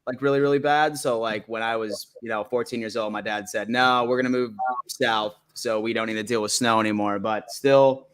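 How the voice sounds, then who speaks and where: A man's voice: fairly low pitch, fairly even intonation, a little fast, fairly bright tone, smooth. A man in his thirties from Buffalo, New York, hosting a casual sports call-in stream in a laid-back way. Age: 30s. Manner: laid-back, says "man" often, hypes guests.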